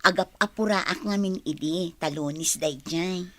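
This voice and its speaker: A woman's voice: lowish voice, normal speed, natural voice. A woman acting in a radio drama as a friendly young schoolteacher, effusive and grateful in manner.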